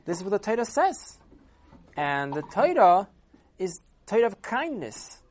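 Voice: medium pitch, big pitch swings, unhurried, a little mellow, voice pushed up. A storyteller voicing a rabbi who patiently and firmly explains the law. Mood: reassuring. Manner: patient and firm. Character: calm, firm rabbi.

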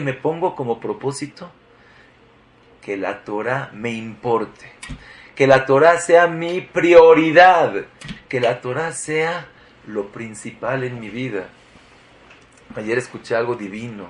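A man's voice: medium pitch, slight background noise. Guy giving a torah talk, keeps repeating stuff, asks the crowd questions. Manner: emphatic, repetitive preaching with rhetorical questions. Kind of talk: sermon.

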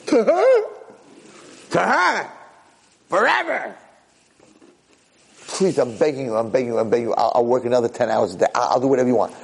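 A man's voice: medium pitch, rapid-fire, hardly any pauses, darkish tone, lightly acted. A man voicing a desperate, distrustful young kitchen slave boy in a told story. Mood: begging.

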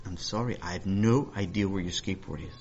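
Man acting placating young brother, children's tale, hesitant, low-key, husky, dull recording. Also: fairly quick, a bit bright, slight background noise, close to an everyday voice. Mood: subdued. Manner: stammering, subdued, defensive. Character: hesitant, placating older brother, apologetic boy.

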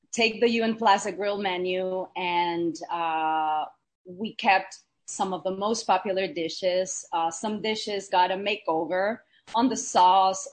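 A woman from Argentina, a chef and guest, talking in a relaxed interview with many hesitations and fillers. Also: fairly low pitch. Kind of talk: casual interview.

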